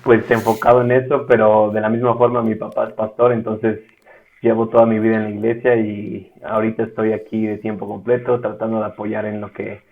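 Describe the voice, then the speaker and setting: A man with a Mexican accent, speaking as a guest in a casual interview, measured and often pausing on "eh". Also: on the low side, level tone. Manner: measured, with frequent "eh" fillers. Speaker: guest, ministry school director. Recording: tinny phone-like line.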